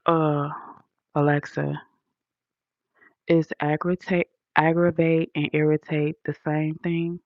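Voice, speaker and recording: A woman's voice: low-pitched, little pitch movement, slow pace, pauses often, no echo, dull audio. A woman with a Southern accent hosting a livestream, lecturing her chat in an indignant, emphatic way. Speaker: livestream host reading chat. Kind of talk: casual livestream rant.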